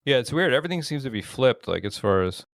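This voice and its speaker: A man's voice: medium pitch, very animated, quick pace, vocal fry, no room sound, studio quality. An American man chatting casually as an interview guest, with an informal, slangy way of talking. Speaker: interview guest, record label owner. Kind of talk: casual chat.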